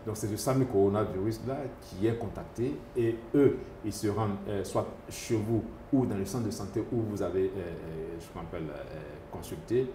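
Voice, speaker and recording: A man's voice: on the low side, normal speed, hardly any pauses, noisy background. A man, a doctor answering interview questions, hesitant, with many fillers and restarts. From Côte d'Ivoire. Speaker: epidemiologist doctor. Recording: echoey room with faint ambience.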